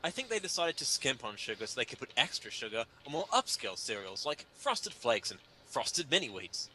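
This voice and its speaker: Animated, bright-voiced man, sardonic comic reader. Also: medium pitch, a little fast, a little hiss or hum. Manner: mock-indignant, emphatic, sardonic delivery. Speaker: reader performing a humor article. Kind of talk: dramatic comedic reading of an article.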